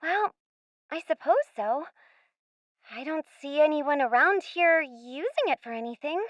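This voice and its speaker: A woman's voice: sits high, expressive, measured pace, a bit bright, dry recording. A woman playing a curious, polite and sensible young girl in a radio play, speaking earnestly with quaint, old-fashioned turns of phrase.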